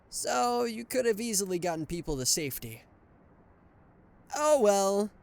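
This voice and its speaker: A man's voice: on the high side, creaky. A man voicing a smug male anti-hero villain who gloats and teases a captured hero in an ASMR roleplay, with a mocking, flirtatious drawl. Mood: flustered. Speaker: voice actor.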